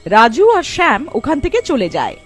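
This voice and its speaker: A woman's voice: on the high side, big pitch swings, brisk, faint music behind. A woman narrating a cartoon story in a scripted storytelling style.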